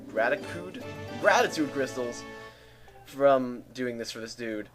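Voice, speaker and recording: Lets play guy rambling, raspy dry throat, bit of game music behind. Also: medium pitch. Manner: rambling, chatty. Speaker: let's play host. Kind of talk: casual gameplay commentary. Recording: home mic with static.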